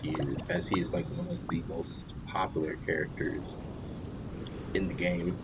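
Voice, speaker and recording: Low-voiced young man doing gameplay commentary. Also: steady tone, moderate pace, gravelly, heavy background noise. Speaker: gaming video host. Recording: loud game music behind voice. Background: game music.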